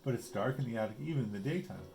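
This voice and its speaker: A man's voice: mid-range voice, expressive, rapid-fire, gravelly, mellow, poor audio. A man reading a picture book, voicing a timid, curious little girl bear cub. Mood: puzzled, wary. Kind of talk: picture book read-aloud.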